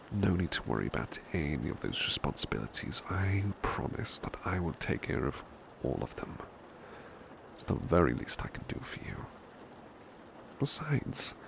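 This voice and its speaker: A man playing a devoted, protective butler in an intimate ASMR roleplay, speaking in a hushed, gentle, courteous and hesitant British voice. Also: a bass voice, creaky, full character voice. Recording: steady background noise, rain ambience. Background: rain ambience.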